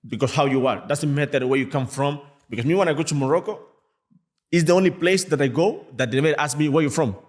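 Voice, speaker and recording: A young man from Mali speaking English as a second language, a music artist chatting as a podcast guest, animated and acting out the people he quotes. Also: fairly high pitch. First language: a Malian language. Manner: fast, animated talk in non-native English, acts out quoted people. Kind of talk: casual podcast chat.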